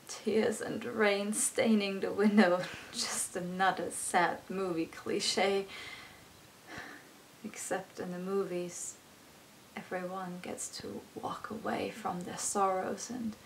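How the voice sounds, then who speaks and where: A woman performing her own poem as a dramatic, earnest spoken-word recital, with expressive delivery. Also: medium pitch, a little hiss or hum, rough recording. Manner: expressive, earnest, swinging between hushed and intense delivery.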